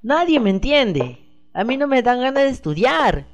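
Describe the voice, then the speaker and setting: A woman's voice: a little high, very animated, moderate pace, background noise. A woman playing a plaintive, unsure schoolboy in an educational radio play. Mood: sulky, dejected. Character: glum, hesitant schoolboy, misunderstood and timid. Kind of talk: educational radio soap acting.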